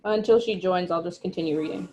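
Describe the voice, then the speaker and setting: A woman's voice: fairly low pitch, fast talker, mellow. Woman reading stage directions, faint noise. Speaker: stage direction reader at a table read. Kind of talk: scripted narration of stage directions. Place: remote video call. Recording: noisy online call audio.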